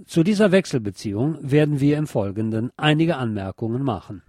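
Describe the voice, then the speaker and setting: A man's voice: medium pitch, expressive, fairly quick, darkish tone, no room sound, studio quality. A man reading a scripted essay as a radio narrator. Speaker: narrator reading a book chapter.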